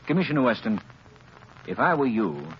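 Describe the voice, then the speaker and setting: Man playing a suave, animated gentleman sleuth. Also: medium pitch, moderate pace, natural voice. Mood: suave, teasing. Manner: urbane, teasing, self-assured. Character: suave, needling gentleman amateur detective. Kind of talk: 1930s radio acting. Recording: muffled, noisy 1930s radio recording.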